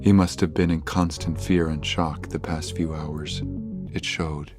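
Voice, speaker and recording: An actor serving as the narrator of an audio drama, reading scripted scene descriptions. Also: male voice, a bass voice, flat delivery, a little slow, music in the background. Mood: measured.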